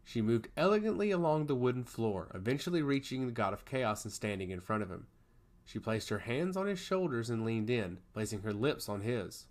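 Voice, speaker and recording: A man's voice: medium pitch, animated, brisk. A man narrating a scripted fantasy story in a dramatic, emphatic way.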